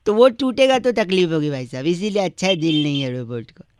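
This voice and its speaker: A man's voice: sits high, lively intonation, fairly quick, clear, resonant tone, no room sound, studio quality. A man voicing a cheeky, mock-polite prankster who makes comic radio prank calls in an earnest, rambling way. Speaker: radio prank-call host. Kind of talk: comic prank phone call.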